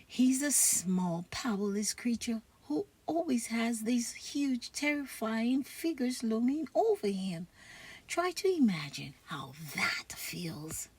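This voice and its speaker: A woman reads a children's comic aloud with very lively expression. Her voice is high and husky, and she goes at an unhurried pace. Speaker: storybook reader.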